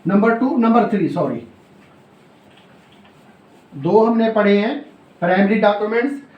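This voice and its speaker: A high-voiced man with a Pakistani accent teaches law in an echoey room. He goes slowly, repeating key phrases as if dictating notes, and poses questions that he then answers himself. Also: lo-fi sound. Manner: repetitive, explanatory, asks and answers own questions; mixes in English legal terms. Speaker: law lecturer. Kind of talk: classroom lecture, explaining and dictating notes. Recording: echoey, roomy sound.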